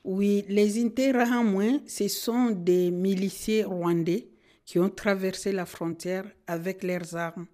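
A woman's voice: mid-range voice, steady tone, mellow. Earnest woman recalling her past. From Democratic Republic of the Congo. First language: Kinande. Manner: earnest, measured, speaking from memory. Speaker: social worker and guest. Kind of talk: radio interview answers.

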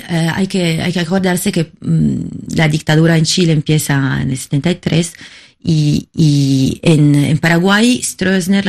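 Halting, creaky-voiced woman from Italy. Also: on the low side, a little mellow. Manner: halting, searching for words, many repeated starts. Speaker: documentary filmmaker, interview guest. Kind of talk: conversational interview answers.

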